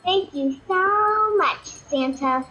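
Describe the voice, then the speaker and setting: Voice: very high voice, lively intonation, slow, bright, forceful tone, soft background music, exaggerated voice, low-quality recording. A young performer playing a confident, earnest child with an insistent manner and childlike grammar. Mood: sure, admiring.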